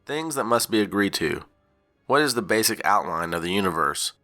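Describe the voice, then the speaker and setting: Lowish bright-voiced man reading rules. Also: expressive, faint music behind. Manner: measured, reads out rules like a charter. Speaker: channel co-founder. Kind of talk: scripted announcement reading.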